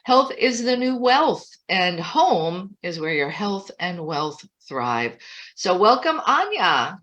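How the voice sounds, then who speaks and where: A woman's voice: fairly low pitch, big pitch swings, takes it slowly, fairly bright tone. A woman hosting an online interview show, introducing her guest with enthusiasm. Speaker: host.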